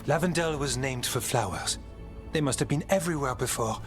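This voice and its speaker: An actor playing an earnest, hopeful warden with a sense of wonder in a fantasy video game. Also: male voice, mid-range voice, brisk, natural voice. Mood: earnest, reflective. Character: earnest, hopeful male warden who cherishes nature. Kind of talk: video game dialogue acting. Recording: game music underneath.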